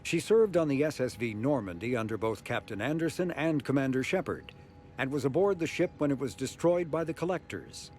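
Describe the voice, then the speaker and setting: A man reading scripted encyclopedic narration in a formal, measured, informative manner. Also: medium pitch, animated, darkish tone. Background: faint music.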